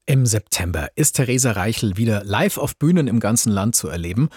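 A man's voice: lowish voice, animated, a little fast, a little mellow, breathy edge, dry recording, clean studio sound. A man who presents the radio show and announces its segments in scripted moderation.

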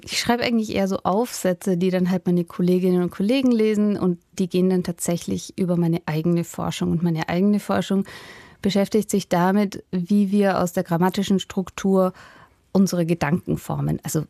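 A woman speaks as a linguistics professor in an interview. Her voice is mellow and warm, and she explains with lively everyday examples and laughs easily. The recording is dry and close. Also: lowish voice, moderate pace, no echo. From Upper Franconia, Germany. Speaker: linguistics professor and author, guest.

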